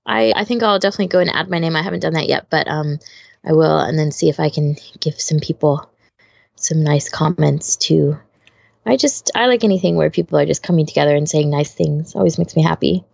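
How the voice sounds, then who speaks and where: A woman's voice: deep voice, brisk, vocal fry, darkish tone, husky, dry recording. A woman co-hosting a podcast in casual, loosely phrased chat, recorded a little lo-fi. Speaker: podcast co-host.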